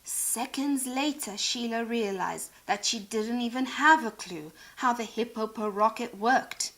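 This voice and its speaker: A woman narrating a children's storybook in a dramatic, expressive way. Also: a little high, measured pace, poor audio. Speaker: storybook reader.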